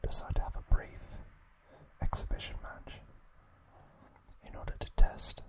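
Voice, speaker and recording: A man whispering an ASMR recap narration in short, hushed, unhurried phrases. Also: rough recording.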